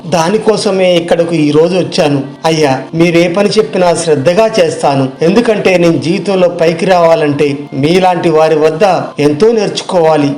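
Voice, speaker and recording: Earnest high-voiced job seeker, man acting, roomy sound. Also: moderate pace, darkish tone, lightly acted. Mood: eager. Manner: deferential, earnest. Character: polite, ambitious, educated male job seeker.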